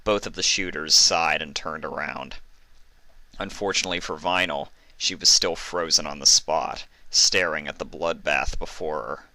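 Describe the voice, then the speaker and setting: A man's voice: a bass voice, steady tone, normal speed, bright, forceful tone, dry recording. A man reading fan fiction aloud as dramatic scripted story narration.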